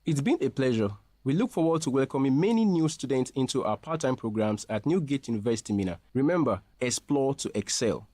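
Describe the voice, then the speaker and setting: A man speaking as a representative in a scripted promotional interview, explaining things in a formal, measured way. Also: mid-range voice, lively intonation, mellow, no room sound. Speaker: university representative being interviewed.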